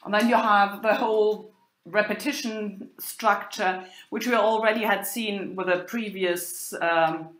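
Slow, halting German-accented woman lecturer. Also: mid-range voice, fairly even intonation. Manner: halting, hesitant delivery with many pauses. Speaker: university lecturer in medieval German literature. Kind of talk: informal academic lecture with quoted text. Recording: roomy, echoing sound.

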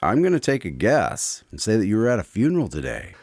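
A man's voice: lowish voice, huge pitch swings, fast, darkish tone, no room sound, clean studio sound. A man hosting a radio drama, chatting with the main character in a friendly, conversational way. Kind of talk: scripted host chat.

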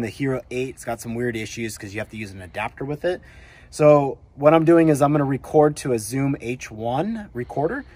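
A man's voice: medium pitch, darkish tone, no echo. A man in his thirties giving a casual how-to talk to camera outdoors. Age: 30s. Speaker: motorcycle vlogger explaining gear. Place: outdoors, driveway and forest trailside.

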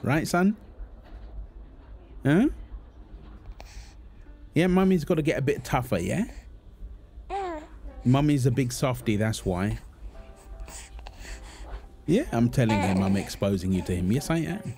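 A man playing a calm, teasing, reassuring young husband and father in a natural, intimate audio roleplay, talking gently to his partner and baby. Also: medium pitch, takes it slowly, pauses often, faint music behind.

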